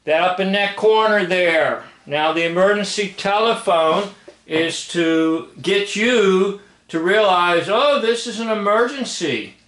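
Slow, high-pitched man in a roomy space. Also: fairly bright tone. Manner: emphatic, repeats phrases, checks in with "okay?". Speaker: commentator. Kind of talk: off-the-cuff commentary over old film footage. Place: indoor room, filming a screen. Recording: roomy, echoing home recording.

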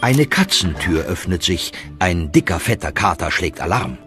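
Lively low-voiced male storyteller. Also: moderate pace, soft background music. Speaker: narrator. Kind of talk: scripted children's radio play narration.

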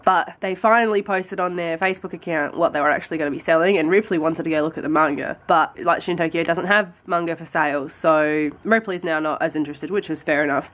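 A young Australian woman in her twenties with a husky voice from a cold. She chats casually to a handheld camera at home and rambles through her plans. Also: sits low. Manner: chatty and rambling, with light laughs. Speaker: vlogger. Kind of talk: casual vlog chat to camera. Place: at home, indoors, by a window. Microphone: handheld camera close to the face.

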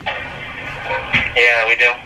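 A man working at a fast food restaurant, politely and hesitantly handling a customer over a phone speaker. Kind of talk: customer service phone talk. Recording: sharp phone speaker, distant, faint noise.

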